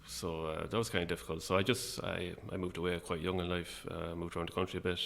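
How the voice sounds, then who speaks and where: A middle-aged man with an Irish accent speaks in a very low voice with a creaky, gravelly edge. He chats informally as an interview guest, in a modest, self-deprecating way. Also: little pitch movement, fast, a bit bright. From Ireland. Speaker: guest, playwright and actor. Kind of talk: casual interview chat.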